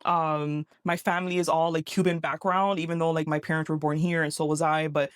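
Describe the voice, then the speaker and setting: A woman's voice: sits low, level tone, clean tone, no echo, studio quality. A woman from Miami with a Miami accent answers viewer questions in an upbeat, reassuring vlog. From Miami, Florida. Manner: upbeat, reassuring, rapid talk. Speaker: vlogger. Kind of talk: talking-head vlog.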